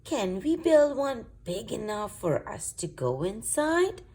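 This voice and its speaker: Woman voicing an eager fairy princess girl, very animated. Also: mid-range voice, slow, breathy edge, heavily acted. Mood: excited.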